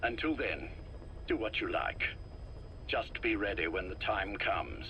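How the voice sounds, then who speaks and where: A cool, commanding head of a shadowy organization in a game drama. His low voice is deliberate and slow, with a crisp, forceful edge, over faint noise. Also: very animated, exaggerated voice. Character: calm, calculating older male leader of secret organization. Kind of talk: dramatic video game voice acting. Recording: faint noise, recorded tape audio.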